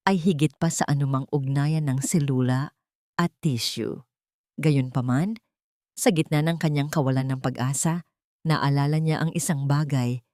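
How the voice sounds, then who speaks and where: Low-voiced woman narrating drama, dry recording. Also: mellow, dry recording. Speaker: audiobook narrator. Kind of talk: dramatic scripted story narration.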